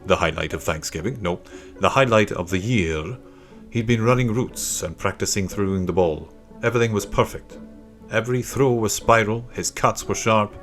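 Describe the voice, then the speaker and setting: A man's voice: fairly low pitch, a bit bright. A man reading a scripted children's story as an audiobook narrator.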